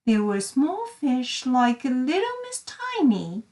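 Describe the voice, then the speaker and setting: A woman reading a children's storybook aloud in an expressive, deliberate storytelling style. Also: high voice, distant mic. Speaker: storybook reader. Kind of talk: bilingual children's read-aloud narration.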